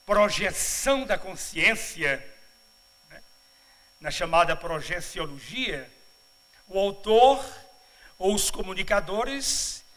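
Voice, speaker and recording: A middle-aged man from Bahia, Brazil, giving a spiritual lecture at a congress, mixing humorous asides with measured, didactic explanation. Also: high voice, pushed and bright, rough recording. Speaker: congress speaker. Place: congress auditorium.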